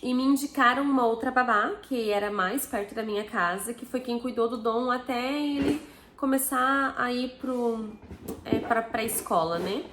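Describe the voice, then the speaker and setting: A woman's voice: a little high, moderate pace, noisy background. Chatty Brazilian woman, echoey room. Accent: Brazilian Portuguese. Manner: chatty, frequent tag questions. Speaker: vlogger. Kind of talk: casual personal story to camera.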